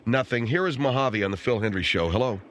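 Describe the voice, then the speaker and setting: A man's voice: mid-range voice, expressive, quick pace, a bit bright, a little hiss or hum. A male talk radio host, dry and sarcastic, brusque with callers.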